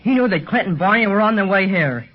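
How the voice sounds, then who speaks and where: A man's voice: high-pitched, fast talker, breathy edge. An actor playing a plucky, earnest fifteen-year-old boy adventurer in a 1930s radio serial. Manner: earnest, boyish, excitable. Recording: dull scratchy 1930s radio recording.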